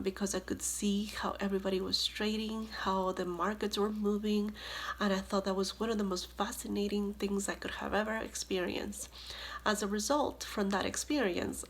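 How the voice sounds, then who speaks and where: Middle aged lady from ecuador, grew up in nyc, talking careers to students, earnest n a bit halting, mid pitched voice. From Ecuador, moved to New York City as a child. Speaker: guest career speaker, finance professional and board member. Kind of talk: informal motivational career talk to students.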